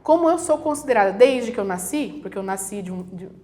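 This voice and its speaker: A woman from Brazil speaks as a guest professor in a relaxed interview. Her voice sits in a middle pitch. She explains step by step and slips into little quoted voices for her examples. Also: fairly quick. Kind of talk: conversational explaining.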